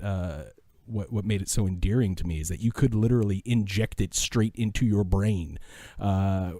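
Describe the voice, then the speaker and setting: A man's voice: on the low side, warm tone, dry recording, studio quality. A middle-aged American man giving reflective, enthusiastic answers as a podcast guest, speaking earnestly and with emphasis. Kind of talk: reflective, enthusiastic interview talk.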